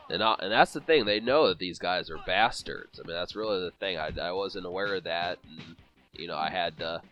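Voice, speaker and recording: A man's voice: low voice, brisk, fairly bright tone, lo-fi sound, soft background music. A man with a cold, sniffling and congested, rambling through casual commentary on a boxing video game with many fillers and restarts. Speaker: let's play commentator.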